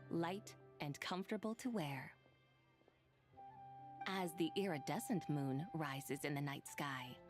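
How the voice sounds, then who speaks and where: A woman voicing a proud, serene female immortal in a video game, speaking formally and in measured, stately phrases. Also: lowish voice, darkish tone. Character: proud, serene female immortal moon-maiden, aloof toward mortals. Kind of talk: scripted video game dialogue and poem recitation. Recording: clean studio voice over game music.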